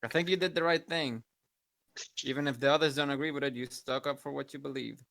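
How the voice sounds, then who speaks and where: A man's voice: mid-range voice, fairly even intonation, fast talker, creaky, fairly bright tone. A man voicing a hard-bitten, foul-mouthed female starship pilot in a tabletop game, blunt and argumentative. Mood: supportive. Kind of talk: improvised tabletop role-play.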